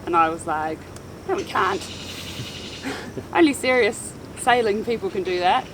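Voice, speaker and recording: A woman's voice: mid-range voice, animated, slow, noisy background. A woman in her thirties from New Zealand with a Kiwi accent, chatting casually about her sailing life with many ums. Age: 30s. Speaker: sailing vlogger. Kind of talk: casual chat. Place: outdoors on a boat in Panama.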